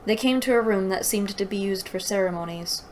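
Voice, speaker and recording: A woman's voice: mid-range voice, a little fast, darkish tone, a little hiss or hum. A woman reading a story aloud and breaking in with sarcastic, exasperated commentary. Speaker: host reading and critiquing a fantasy novel aloud.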